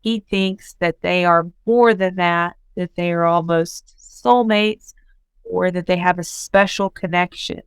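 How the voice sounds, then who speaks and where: A young woman teaching English, explaining the meaning of words patiently with simple synonyms and unhurried phrasing. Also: on the low side, fairly even intonation, clear, resonant tone, no room sound.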